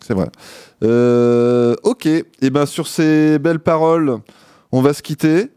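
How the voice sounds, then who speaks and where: A man hosting a community radio show, chatting casually and sounding tired, pausing often on filler sounds into a studio mic. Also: on the high side, warm tone, clean tone, dry recording. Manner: hesitant, many filler pauses. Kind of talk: casual on-air chat. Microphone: studio microphone.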